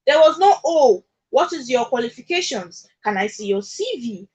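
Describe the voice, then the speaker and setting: A woman's voice: on the high side, lively intonation, moderate pace, a little mellow, slightly rough. A Nigerian woman speaking as a radio guest in conversational advocacy, emphatic, repeating her points and checking that she is understood. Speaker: radio guest discussing social issues. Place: radio studio.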